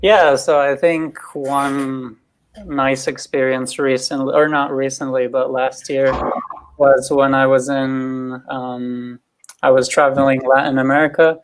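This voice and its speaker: A man's voice: mid-range voice, little pitch movement, smooth, rough recording. A man from Switzerland, founder of the group, telling a rambling story on a video call with frequent self-corrections. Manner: rambling, self-correcting, pace swinging fast and slow. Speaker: founder and host. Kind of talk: casual storytelling on a webinar.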